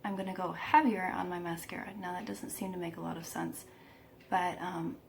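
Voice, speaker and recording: Woman beauty vlogger with a croaky, gravelly voice, walking through her makeup with self-mocking asides. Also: fairly low pitch, little pitch movement, normal speed, a little hiss or hum. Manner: chatty, self-deprecating, laughs at herself. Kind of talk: casual makeup tutorial.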